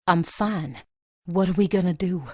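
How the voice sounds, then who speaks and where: A woman playing a steady, tough survivor in an audio drama, with a soft husky voice. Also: lowish voice, unhurried, dry recording, studio quality. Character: steady, tough female survivor of dragon attacks.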